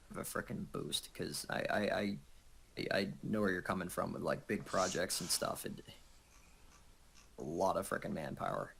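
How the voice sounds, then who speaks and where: A man with a fairly low voice and plenty of vocal fry chats casually in a group. He rambles informally, dropping in mild swear words. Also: a bit bright.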